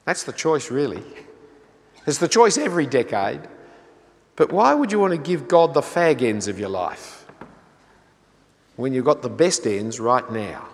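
A man's voice: mid-range voice, sing-song, unhurried, pauses often. An older man preaching an earnest sermon, pressing his listeners with rhetorical questions. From Australia. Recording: long hall reverb.

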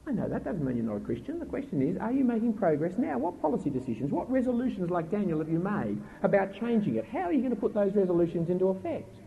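A middle-aged man preaching a sermon in an earnest, emphatic way with a naturally loud voice, asking his listeners pointed questions. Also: sits high, huge pitch swings, fast talker, creaky, hardly any pauses. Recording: tinny phone-like recording with faint noise.

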